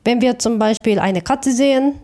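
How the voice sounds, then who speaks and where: Mellow higher-voiced woman with a Japanese accent. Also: normal speed. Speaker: interviewed artist. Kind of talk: interview answers, reflective explanation.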